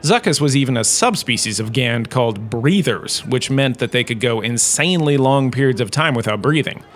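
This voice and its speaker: An actor playing a vain, self-important professor and holodrama star, giving a wry, chatty comic lecture. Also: male voice, medium pitch, expressive, moderate pace, close to an everyday voice. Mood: wry lecturing.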